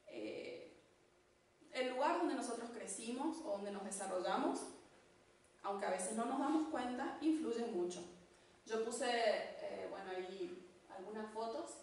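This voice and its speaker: A woman from Argentina with an Argentine accent, giving a church teaching talk in an unhurried way, with fillers and restarts. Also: on the high side, a little mellow. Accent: Argentine Spanish. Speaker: church speaker. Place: church hall. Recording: echoey hall, distant mic, faint noise.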